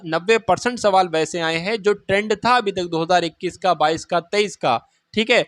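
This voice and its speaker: A man's voice: high-pitched, fairly bright tone, no echo. A man talks informally like a coaching teacher, explaining and reassuring students in colloquial phrases with frequent check-ins.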